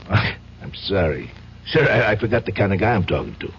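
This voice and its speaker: An actor with an American accent playing a streetwise, slangy, loyal but menacing pal in an old-time radio drama, casual and wisecracking. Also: male voice, fairly low pitch, big pitch swings, normal speed. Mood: persuasive. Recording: dull, faintly noisy old radio recording.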